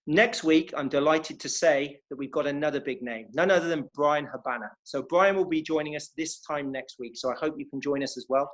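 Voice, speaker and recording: A man's voice: fairly high pitch, a little fast, hardly any pauses, fairly bright tone. A man from England's West Country with a West Country accent, hosting a fundraising interview evening with enthusiasm. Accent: West Country English. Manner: enthusiastic, welcoming. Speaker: interviewer and host.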